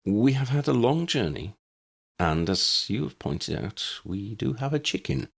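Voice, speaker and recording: A man voicing a calm, courteous old man in an audiobook, speaking in a measured, formal and unruffled way. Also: mid-range voice, gravelly, pitched above the performer's own voice, heavily acted. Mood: calm, firm. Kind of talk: audiobook character voice.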